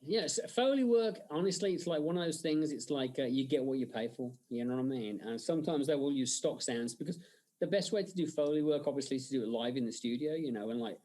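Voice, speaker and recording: An English man telling lively anecdotes as a guest in a casual interview, often slipping into mock accents. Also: mid-range voice, quick pace, rough recording. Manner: animated storyteller who slips into Scottish impressions. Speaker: guest, special effects artist.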